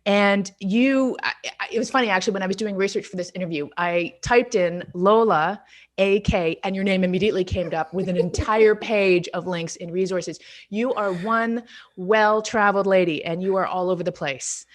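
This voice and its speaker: A woman's voice: mid-range voice, moderate pace. Enthusiastic woman hosting an interview. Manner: enthusiastic, reads guest introduction. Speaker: interviewer host. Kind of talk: video interview.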